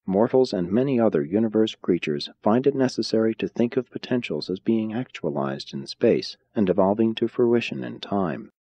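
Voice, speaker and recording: Muffled, mellow male audiobook narrator, husky edge. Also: fairly low pitch. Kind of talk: scripted audiobook narration of philosophical religious text.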